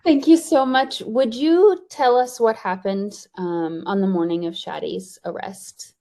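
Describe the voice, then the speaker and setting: A woman hosting a webinar, introducing guests in a relaxed way with frequent fillers. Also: medium pitch, measured pace. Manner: relaxed, filler-heavy, friendly. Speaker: webinar host.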